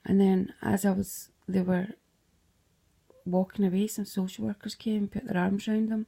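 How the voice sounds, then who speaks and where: A woman's voice: medium pitch, flat delivery, normal speed, gravelly, warm tone. A Scottish woman casually retelling a dream, rambling, slipping into whispered asides and swearing freely.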